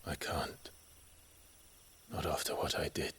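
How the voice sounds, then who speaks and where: An actor playing a sombre, guilt-ridden exiled nobleman in a video game, halting and restrained. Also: extremely low pitch, flat delivery, fairly bright tone, breathy edge, in a whisper, heavily acted. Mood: anguished. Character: brooding, guilt-ridden exiled young nobleman turned soldier. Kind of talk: dramatic video game cutscene acting.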